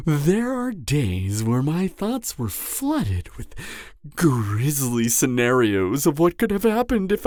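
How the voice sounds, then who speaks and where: A man's voice: mid-range voice, darkish tone, no room sound, clean studio sound. Man playing a theatrical, swooping cannibal killer. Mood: gloating, amused. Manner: theatrical, drawn-out phrasing with frequent chuckling. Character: gleeful, gloating cannibal serial killer man, refined and theatrical. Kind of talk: roleplay audio drama monologue to the listener.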